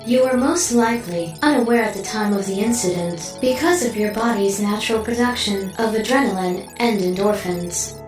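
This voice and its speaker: An actress playing a coolly clinical artificial intelligence in an audio drama, speaking in precise, formal, detached phrases. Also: female voice, mid-range voice, normal speed, roomy sound, far from the mic, music in the background, natural voice, poor audio. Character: coolly clinical female artificial intelligence delivering blunt data.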